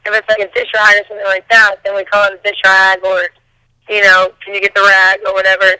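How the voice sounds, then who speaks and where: A woman with a hoarse voice chats loosely as a podcast guest. She mumbles a bit and restarts her thoughts often. The recording is dry and close, with no room around her. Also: mid-range voice, completely flat delivery, fast, pushed and bright, no echo, low-quality recording. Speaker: singer and guest. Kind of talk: casual interview chat.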